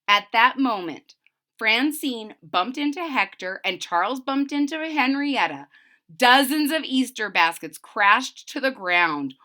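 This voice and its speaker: A woman storyteller reading a picture book to children, deliberate and expressive, with simple explanations. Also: on the high side. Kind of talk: expressive read-aloud with simple recaps.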